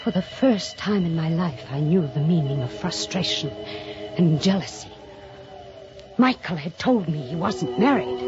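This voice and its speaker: Over a muffled old radio recording with music behind, an actress plays a jealous, obsessive woman telling her dark story. Her delivery is dramatic, deliberate and intense, in the manner of a mid-century radio thriller. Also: mid-range voice, lightly acted. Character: obsessive, jealous woman mystery novelist turned killer, narrating. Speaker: lead actress and narrator. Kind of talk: 1950s radio mystery acting.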